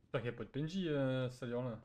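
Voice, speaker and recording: A man's voice: medium pitch, racing, darkish tone. A man chatting casually while playing an online role-play game, grumbling and arguing. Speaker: gamer playing a troll robber. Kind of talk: casual improvised game chat.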